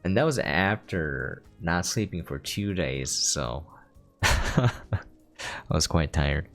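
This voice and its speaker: Man, deep voice, relaxed-language game walkthrough, explanatory, music behind him. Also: slow. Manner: explanatory, informal. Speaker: game streamer giving a preview. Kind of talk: casual gameplay commentary.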